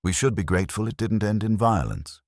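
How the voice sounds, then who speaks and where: A man voicing a stout older male detective who speaks slowly and formally, handing down judgments. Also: on the low side, no echo, clean studio sound, voice pushed up, lightly acted. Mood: measured. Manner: slow, formal, pronouncing judgments.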